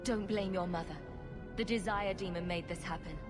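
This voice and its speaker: An actress playing a witty, level-headed young rogue hero in a video game cutscene, wry and direct. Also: female voice, lowish voice, fairly even intonation, moderate pace, over music, natural voice. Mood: serious, probing.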